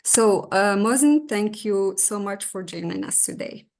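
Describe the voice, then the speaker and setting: A woman's voice: mid-range voice, expressive, measured pace, darkish tone. A woman from Morocco moderating an online webinar, formally reading a prepared introduction over a video call. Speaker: moderator and discussant.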